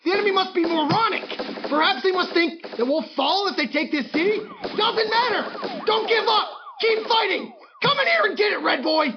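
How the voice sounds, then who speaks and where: A man's voice: extremely high pitch, sing-song, normal speed, hardly any pauses, muffled recording, crowd noise, full character voice. A man playing a grave, defiant war-time radio announcer with a dramatic, emphatic delivery. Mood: defiant, shouting. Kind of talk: dramatic radio news broadcast acting. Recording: band-limited radio sound with clear noise.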